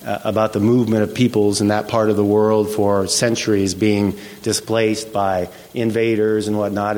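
A man recounting a trip in a casual group discussion, halting and repeating words with many fillers. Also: lowish voice, darkish tone. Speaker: trip panelist. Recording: reverberant hall.

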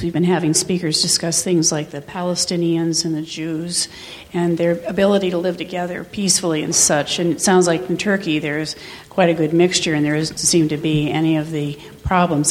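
A woman in a discussion group remarking on earlier talks, thoughtful and steady. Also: lowish voice, slight background noise. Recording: reverberant hall with faint ambience.

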